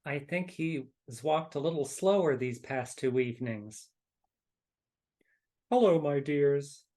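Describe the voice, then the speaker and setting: A woman's voice: sits low, slow, mellow. A woman voicing a kindly, grieving frog father who consoles his family in a live dramatic reading. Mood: gentle sorrow.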